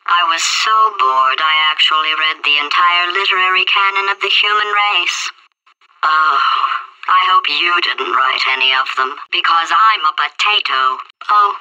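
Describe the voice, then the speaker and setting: A woman voicing a sarcastic female computer AI stuck in a potato, with a robotic processed voice and deadpan insults. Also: low voice, heavily acted, poor audio. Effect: robotic synthetic processing. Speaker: video game character. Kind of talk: game voice acting.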